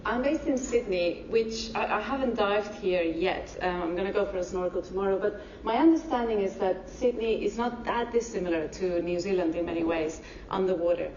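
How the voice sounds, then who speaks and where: A woman from Barcelona with a Spanish accent giving a public science lecture, speaking slowly and carefully. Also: medium pitch, a little mellow, a little hiss or hum. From Spain. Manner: deliberately slow, careful explanations. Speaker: marine ecology lecturer. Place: university lecture hall. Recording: echoey lecture hall, distant dull mic.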